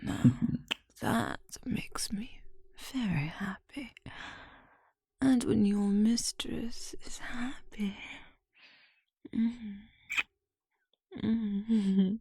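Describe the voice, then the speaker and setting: A woman's voice: mid-range voice, drawn-out words, pauses often, mellow, breathy edge, no echo, clean studio sound, heavily acted. A woman playing a feisty, scheming servant in an audio drama, with a sassy, indignant, theatrical delivery. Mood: sultry, pleased. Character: feisty working-class servant woman, scheming, possessive lover. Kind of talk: dramatic audio roleplay acting.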